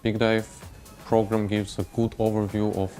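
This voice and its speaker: A man, a course participant, giving a measured explanation in an interview clip. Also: lowish voice, flat delivery, mellow. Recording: faint music under interview clip.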